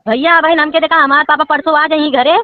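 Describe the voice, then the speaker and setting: A woman's voice: sits high, fairly even intonation, brisk. A woman with a Bhojpuri accent recounting personal grievances as a caller in a recorded phone conversation. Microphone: phone call. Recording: muffled, noisy recorded phone call.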